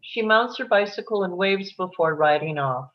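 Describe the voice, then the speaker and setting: A woman's voice: mid-range voice, animated, moderate pace, muffled recording. A woman reading stage directions as the narrator of a live screenplay table read.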